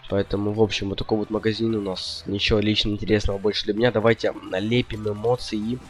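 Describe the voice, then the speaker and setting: A man's voice: lowish voice, flat delivery, fast talker. A young man giving casual gameplay commentary, rambling indecisively and slangily to his viewers. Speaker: gaming video host.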